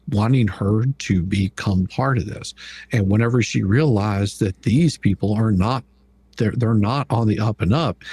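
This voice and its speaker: A man with a husky, raspy voice hosting a live stream in a casual, folksy way, often repeating himself as he explains. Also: on the low side, darkish tone. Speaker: live stream host running the show.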